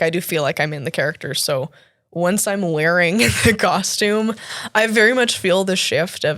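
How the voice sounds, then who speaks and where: Woman in her twenties, low dry voice. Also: gravelly, a bit bright, no room sound. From Canada. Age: 20s. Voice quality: deeper voice than expected. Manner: chatty, laughs often, many fillers and restarts. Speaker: guest, actor and cosplayer. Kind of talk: casual interview chat.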